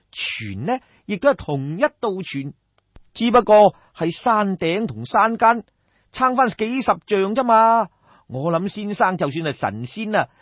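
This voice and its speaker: A man's voice: high voice, no room sound, highs cut off, full character voice. A man with a Cantonese accent voicing a brash, crafty young schemer, exclamatory and coaxing, in a storybook tale. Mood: eager, persuasive.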